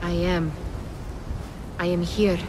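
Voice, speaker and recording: A woman's voice: fairly low pitch, level tone, takes it slowly, noisy background. A woman acting an intense, enigmatic young female companion in a dramatic video game scene. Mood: guarded, uneasy. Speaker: game character actor. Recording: loud music over the voice.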